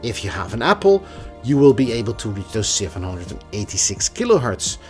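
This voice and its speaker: A man's voice: lowish voice, very animated, brisk, soft background music. A man giving a casual, opinionated tech review in a conversational manner. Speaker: product reviewer.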